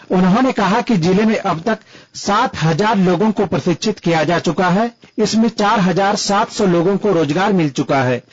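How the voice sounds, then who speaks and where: High-pitched male correspondent, dull lo-fi sound. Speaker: regional radio news correspondent. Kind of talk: scripted news report. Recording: dull, lo-fi radio report.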